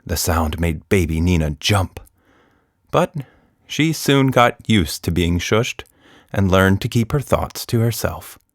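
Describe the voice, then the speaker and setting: Unhurried low-voiced man telling a story. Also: expressive, no room sound, clean studio sound. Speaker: storyteller, podcast narrator. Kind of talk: scripted children's story narration.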